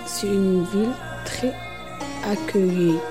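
A woman's voice: mid-range voice, slow. A young woman from Algeria, a poet, answering spontaneously in an interview. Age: young adult. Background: music.

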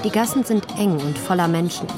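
A woman's voice: lowish voice, brisk, over music, a crowd in the background. A woman reading scripted narration for a radio feature as its reporter.

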